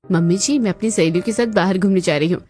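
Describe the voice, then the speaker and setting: A woman's voice: on the low side, fast, mellow, soft background music, close to an everyday voice. A woman playing a selfish, bossy elder daughter-in-law in a cartoon story. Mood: dismissive.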